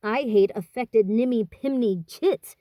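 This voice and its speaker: A woman's voice: a little high, a little slow, mellow, dry recording, studio quality, natural voice. A woman voicing a blunt, boyish teenage tomboy in a storybook, brash and plain-spoken. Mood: teasing.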